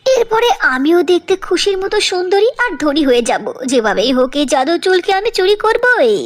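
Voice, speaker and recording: A woman's voice: very high-pitched, very animated, a little fast. A woman voicing a jealous, scheming female beauty parlour boss in a cartoon, with exclaiming, dramatic delivery. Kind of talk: cartoon voice acting.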